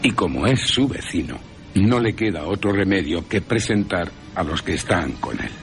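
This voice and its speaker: A man's voice: low-pitched, sing-song, moderate pace, creaky. A man with an accent from Spain giving scripted, theatrical and wry narration as a radio presenter. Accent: Spanish (Spain). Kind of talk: scripted dramatic narration of a film, with character voices. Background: music.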